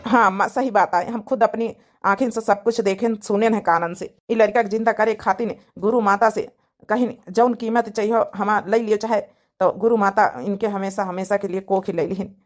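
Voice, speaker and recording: A woman's voice: mid-range voice, fairly even intonation, moderate pace, soft background music, natural voice. A woman voicing an earnest, emotional village man in a cartoon family drama, insistent and repetitive, with an Awadhi accent. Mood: earnest, pleading.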